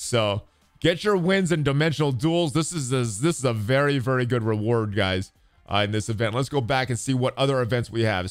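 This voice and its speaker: Male gaming host, mid-pitched and clear, casual commentary style, dry sound over faint music. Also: dry recording. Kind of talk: casual game update commentary.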